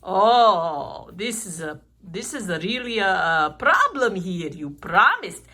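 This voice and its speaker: A woman voicing a kindly, excitable uncle puppet in a children's show, exclaiming and teaching slowly. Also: medium pitch, exaggerated voice. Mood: thoughtful. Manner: exclaiming, slow, instructive.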